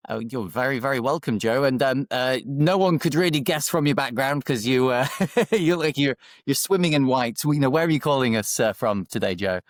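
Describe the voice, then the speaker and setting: Male podcast host, losing his voice, halting delivery, dry sound. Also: medium pitch, no echo. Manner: hesitant, many fillers and restarts. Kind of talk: hosted video-call interview.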